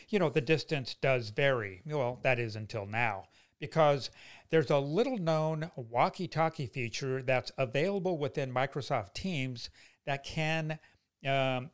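A man hosting a solo tech podcast in a semi-scripted, conversational way with folksy asides. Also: medium pitch. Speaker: podcast host.

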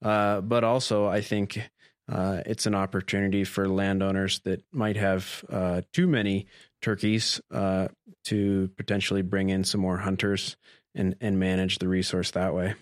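A young man, a researcher being interviewed, explaining things informally in a hesitant, careful, measured way. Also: sits low, little pitch movement, dry recording, studio quality. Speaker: graduate student researcher, interview guest.

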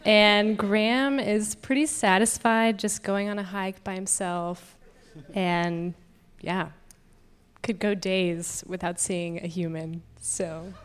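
A woman's voice: mid-range voice, steady tone, clear, resonant tone. A woman on a couples panel, outgoing and easy-going as she describes herself. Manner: outgoing, unhurried. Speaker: panel guest. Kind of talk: casual chat.

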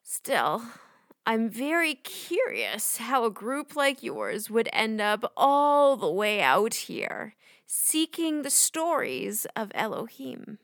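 A crotchety old woman living out in the wilds, with a creaky, bright voice. She talks in a theatrical, folksy way with big swings of pitch, made for a children's audio drama. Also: very animated, slow pace, no room sound, clean studio sound, exaggerated voice. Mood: welcoming, curious. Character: short, gruff but twinkly old woman hermit Maggie.

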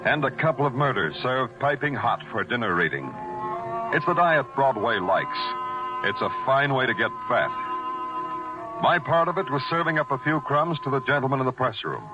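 A muffled vintage radio recording with prominent music behind a man playing a hardboiled detective. He is world-weary and sardonic, with a medium-pitched voice and a deadpan, brooding delivery. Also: normal speed, close to an everyday voice. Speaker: lead actor and narrator. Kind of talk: 1940s hardboiled radio narration and acting.

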